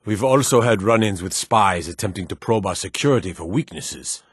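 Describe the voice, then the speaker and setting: Casting: a watchful city guard for a fantasy game, gruff and authoritative, raspy lower register. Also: male voice, moderate pace, dry recording. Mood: stern, watchful. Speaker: video game voice actor. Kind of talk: scripted fantasy game dialogue.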